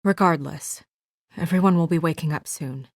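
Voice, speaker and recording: A woman's voice: sits low, moderate pace, no echo, studio quality. A woman voicing an anxious, businesslike young female official in a video game, speaking in an earnest, guarded way with naturalistic delivery. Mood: anxious, urgent.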